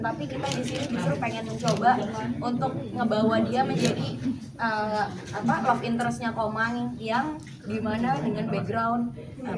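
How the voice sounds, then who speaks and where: A female director explaining casting choices at a press event in a steady, explanatory way with fillers. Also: a little high. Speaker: film director. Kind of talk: press interview answers. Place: busy cinema lobby. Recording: echoey, distant, loud crowd. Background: loud crowd chatter.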